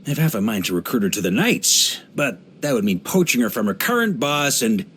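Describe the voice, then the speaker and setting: An actor playing a hearty middle-aged knight grandmaster in a video game, an easygoing adventurer who talks in a wry, conversational way. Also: male voice, mid-range voice, a little hiss or hum. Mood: admiring, easygoing. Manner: relaxed, wry, conversational, with chuckles and musing pauses. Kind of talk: video game character voice lines.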